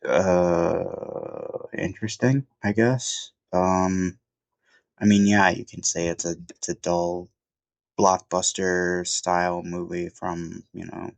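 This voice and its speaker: Male film reviewer, deep voice, stuffed-up and sniffly, meandering casual delivery, dry room. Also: level tone, takes it slowly, gravelly, no room sound. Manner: rambling, many fillers and restarts. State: sniffly from a cold. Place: at home.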